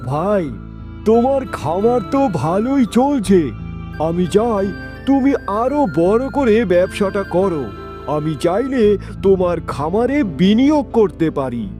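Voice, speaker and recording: A man playing a persuasive city businessman in a moral-story cartoon, deliberate in manner. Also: high-pitched, big pitch swings, warm tone, soft background music, heavily acted.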